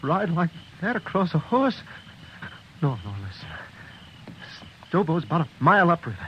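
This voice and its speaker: High-pitched, panicky captive outlaw. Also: male voice, big pitch swings, normal speed. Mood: terrified pleading. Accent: American. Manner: frantic, begging, stammering. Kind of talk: 1950s radio western acting. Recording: muffled, faintly noisy vintage radio recording.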